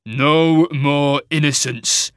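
A man's voice: medium pitch, moderate pace, a bit bright, dry recording, clean studio sound, lightly acted. A man voicing a weary, conflicted older warrior father, plain-spoken and growling, in a fantasy audiobook. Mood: defiant. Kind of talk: dramatic fantasy audiobook character.

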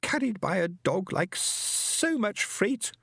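A man acting out a prim, easily offended alien, high-pitched and slow-spoken. He draws out his words with grand disdain. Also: very animated, gravelly, a bit bright, slightly rough, no room sound, studio quality, heavily acted. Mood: indignant. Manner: shrill, indignant, drawn out. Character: haughty fussy alien male Fenobe.

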